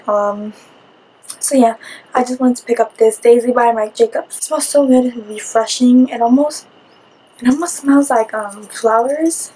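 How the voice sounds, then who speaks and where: Young woman, raspy from a cold. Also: a little high, a little mellow, poor audio. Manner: chatty, rambling, with many "like" fillers. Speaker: beauty vlogger. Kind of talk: casual haul video chat. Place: small room in front of a curtain.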